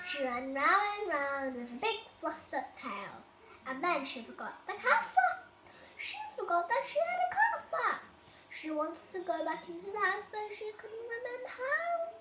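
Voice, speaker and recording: A high-pitched child reads a bedtime story aloud. The delivery is slow and dramatic, with a very animated melody. The sound comes from an echoey room. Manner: expressive, dramatic reading. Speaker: storybook reader. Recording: roomy echo, loud enough background noise.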